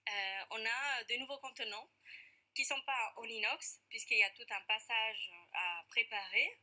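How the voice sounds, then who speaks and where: A woman's voice: fairly high pitch, measured pace, sharp tone. A woman with an Argentine accent, a parent activist answering questions earnestly in a TV studio interview, with frequent hesitations. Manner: earnest, many hesitations with "euh".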